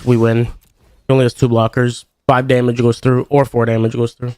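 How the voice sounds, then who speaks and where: A man's voice: mid-range voice, level tone, brisk, a little mellow, clean tone, no room sound. A young man streaming a card game, chatting casually in a rambling way full of fillers, and stuffed up with congestion. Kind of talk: casual chat while playing a card game.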